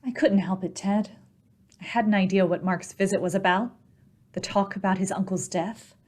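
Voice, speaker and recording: A woman's voice: medium pitch, fairly even intonation, moderate pace, a little mellow, natural voice. A woman playing a devoted, worried wife in old-time radio drama, earnest and resigned.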